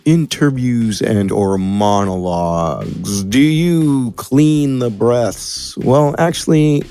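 A man's voice: fairly low pitch, mellow. An American man talking casually and playfully as a podcast guest, explaining how he works in a laid-back, colloquial way. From California, USA. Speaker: podcast producer and audio editor, guest.

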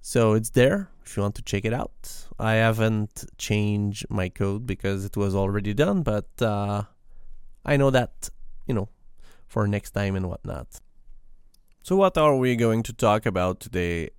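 A man from Quebec with a French Canadian accent, a native French speaker, talking alone about programming in a halting way with lots of restarts. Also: lowish voice, a little mellow, smooth, no echo, studio quality. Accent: Québécois French. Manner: halting, many restarts and fillers. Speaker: podcast host. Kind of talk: solo tech talk.

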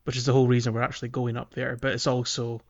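A man's voice: medium pitch, flat delivery, rapid-fire. Man chatting casually, slightly dull recording. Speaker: podcast host. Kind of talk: casual video game discussion.

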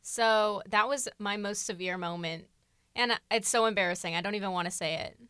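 Teen girl, podcast co-host, bright voice, self-mocking, casual, dry sound. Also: medium pitch, a little fast, clear, resonant tone, no room sound. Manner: chatty, self-mocking, laughs often. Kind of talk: casual chat.

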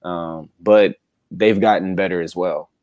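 A man's voice: deep voice, fairly even intonation, unhurried, darkish tone, no room sound, studio quality. A man co-hosting a casual sports chat, talking in a laid-back, slangy, conversational way. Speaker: sports podcast co-host.

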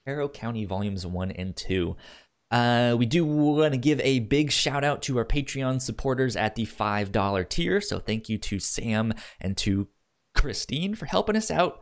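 A man co-hosting a podcast in casual chat, halting and often repeating the starts of his words. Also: medium pitch, expressive. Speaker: podcast co-host.

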